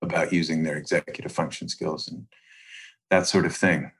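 A man speaking as an expert interview guest, explaining in precise, measured academic phrases with deliberate pauses. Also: rumbling low, little pitch movement, fairly bright tone, husky.

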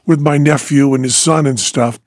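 A man's voice: mid-range voice, fairly even intonation, normal speed, no echo, studio quality. A middle-aged man from Western Massachusetts with a faded New England accent, chatting casually as a podcast co-host, laughing often and trailing off mid-sentence. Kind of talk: casual chat, storytelling.

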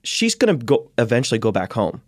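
A man speaking as a guest scholar in an interview, explaining in an animated way and acting out questions and quotes. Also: mid-range voice, a little fast, no echo, clean studio sound.

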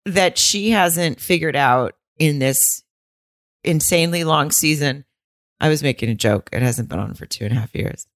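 A woman's voice: low voice, moderate pace, no room sound. A woman co-hosting a casual recap podcast, joking and laughing often with rambling asides. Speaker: podcast co-host.

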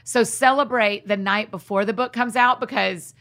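Dramatic woman with a gravelly, bright voice. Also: a little high, fairly even intonation, normal speed. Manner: dramatic, gives advice, acts out quotes. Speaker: podcast host. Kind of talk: casual podcast chat. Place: recording studio.

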